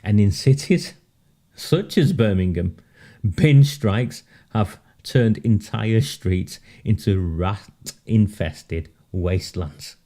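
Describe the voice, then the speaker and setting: A man's voice: on the low side, lively intonation, slow pace, dark tone. A man hosting a live-streamed political monologue, sardonic and emphatic, often laughing at his own points and repeating words for emphasis. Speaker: political commentary host.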